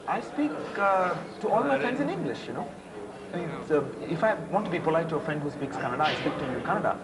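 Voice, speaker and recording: A man's voice: a little high, quick pace, a loud crowd. A man with an Indian accent speaking candidly and animatedly in an interview, often restarting his sentences. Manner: animated, self-deprecating, with frequent restarts.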